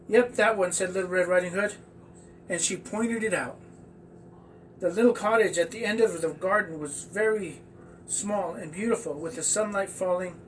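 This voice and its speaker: Guy with a high voice reading a kids story, stumbles a bit, sounds away from the mic. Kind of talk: reading a children's story aloud. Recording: distant mic with faint background noise.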